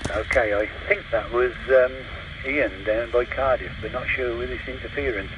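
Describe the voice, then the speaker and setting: A man's voice: mid-range voice, normal speed, dull audio. Distant man over noisy CB radio. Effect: heard over CB radio. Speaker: CB radio net controller. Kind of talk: CB radio chat, calling stations.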